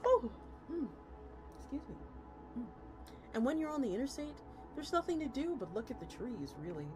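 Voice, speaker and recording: A woman's voice: sits high, big pitch swings, moderate pace. A woman streamer reading a story aloud in a casual, conversational way. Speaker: VTuber streamer. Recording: music playing behind the voice. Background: background music.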